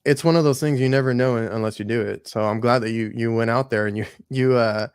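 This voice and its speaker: A man's voice: mid-range voice, steady tone, fast, clear, resonant tone, no echo, clean studio sound. A man in his mid-thirties hosting a podcast, easygoing and casual, often repeating words as he thinks. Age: 30s. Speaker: podcast host.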